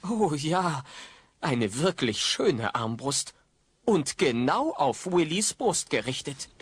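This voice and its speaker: A man's voice: fairly high pitch, very animated, slow, slightly rough, no room sound, exaggerated voice. A man narrating an audio play in a wry, suspenseful, dramatic storytelling style. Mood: excited.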